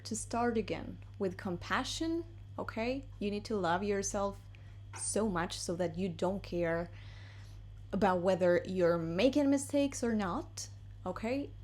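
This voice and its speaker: Argentine woman, english teacher, chatty and encouraging, flips between english and spanish, middle pitch. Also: a little mellow. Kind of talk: casual video lesson. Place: home in Madrid.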